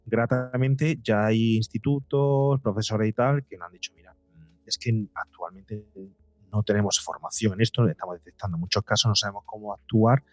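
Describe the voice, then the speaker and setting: A man's voice: on the low side, fairly even intonation, normal speed, dry recording, faint music behind. A man answers as an interview guest, explaining conversationally, often repeating and rephrasing as he goes. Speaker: podcast guest, psychologist and documentary creator.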